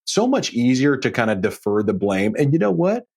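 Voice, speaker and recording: A man with a lively, swinging pitch and a slightly husky voice hosts an advice podcast. He speaks as a financial advisor, informally and straight to the listener, in an easy conversational monologue. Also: moderate pace. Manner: informal, direct address with frequent checking tags like "okay?" and "right?".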